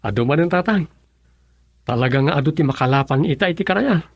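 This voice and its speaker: Higher-pitched young Ilocano son. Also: male voice, lively intonation, fast, no room sound. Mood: cheerful, respectful. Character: dutiful young son who fishes the river. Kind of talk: Ilocano radio drama acting.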